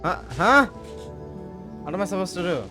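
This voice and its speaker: A man's voice: high voice, expressive, normal speed, pushed and bright. A man reacting casually to a video game as he plays it. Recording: game music behind the voice.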